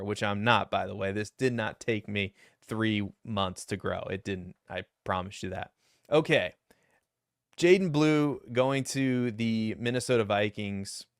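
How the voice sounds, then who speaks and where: A young man with a low, deep voice that cracks upward now and then. He talks through draft picks in a casual, rambling way, full of self-deprecating asides. His voice sounds smooth and clear, recorded dry and close with no room echo. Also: a bit bright. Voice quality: deep voice that sometimes cracks high. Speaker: fantasy football YouTuber. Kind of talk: solo mock draft commentary.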